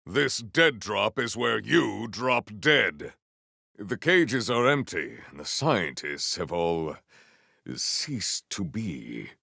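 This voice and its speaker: A man playing a proud, stern warrior in a video game, speaking formally and theatrically in grandiose declarations with a gravelly edge. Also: on the low side, very animated, slow, bright, forceful tone, no room sound, clean studio sound, heavily acted. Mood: stern, menacing. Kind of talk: video game character voice lines.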